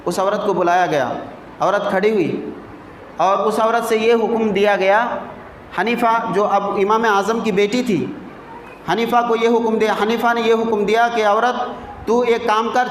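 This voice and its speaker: A male cleric delivers a sermon in a strikingly high-pitched voice. He teaches through storytelling and rhetorical questions, repeating key phrases to drive them home. The sound is echoey, with faint noise behind him. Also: a bit bright.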